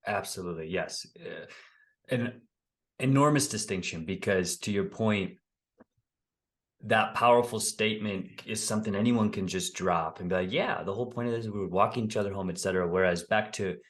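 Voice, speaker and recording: A man in his thirties hosts a podcast over a video call, his voice sitting on the low side. He speaks enthusiastically and affirms his guest often. He is in a home office with bookshelves, using a desk mic. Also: moderate pace. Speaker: podcast host. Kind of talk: warm interview conversation. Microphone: desk condenser mic, video call.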